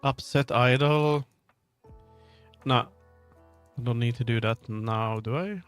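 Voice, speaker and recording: A man with a mid-pitched voice talks casually as he works on a livestream. He rambles and thinks out loud, often leaving sentences unfinished. The sound is close and dry, with music playing behind him. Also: slow, clear, resonant tone, no echo. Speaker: livestreamer, game developer. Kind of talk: casual rambling livestream chat while working. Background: background music.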